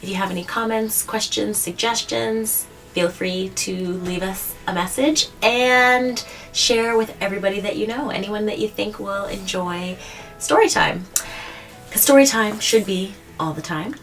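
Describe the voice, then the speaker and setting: A woman hosting a children's read-aloud, explaining things simply and gently to a child and often checking in with questions. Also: mid-range voice, unhurried, soft background music. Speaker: host of a children's story read-aloud show. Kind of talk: picture-book reading and simple explanations to a child. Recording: distant mic, faint background noise.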